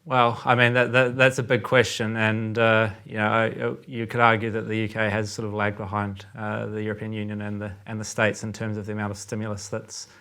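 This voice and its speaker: A man with a New Zealand accent, a trader discussing currency markets as a podcast guest in a measured, thinking-aloud way. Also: fairly low pitch, monotone, sharp tone, clean tone.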